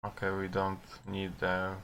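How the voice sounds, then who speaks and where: Non-native english guy live coding, low voice, real slow, stops to think out loud. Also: completely flat delivery, slight background noise. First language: not English. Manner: halting, thinking aloud with long pauses. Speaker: programmer live-streaming his coding. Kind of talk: unscripted live coding commentary.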